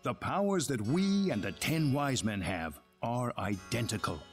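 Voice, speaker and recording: An actor playing a grave, courteous leader of an advanced civilization in a video game, speaking in formal, measured exposition. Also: male voice, mid-range voice, expressive, faint music behind, lightly acted. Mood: measured, instructive. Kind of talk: dramatic video game dialogue.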